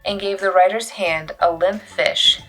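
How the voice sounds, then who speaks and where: A woman reading a children's novel aloud as an expressive storyteller, with dramatic pauses and emphasis. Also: mid-range voice, soft background music, rough recording. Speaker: youth services librarian reading a book aloud.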